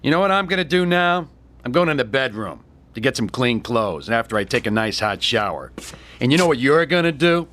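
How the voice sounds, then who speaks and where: An actor playing a cocky, scheming street drifter with swaggering, slangy talk in a radio drama. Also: male voice, medium pitch, sing-song, brisk, fairly bright tone. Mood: insolent, commanding.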